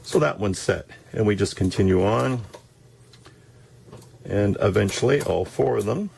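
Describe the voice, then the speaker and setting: A man giving a casual, halting, step-by-step demonstration of a hands-on job. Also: on the low side, gravelly, a little mellow, a little hiss or hum. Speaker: DIY demonstrator.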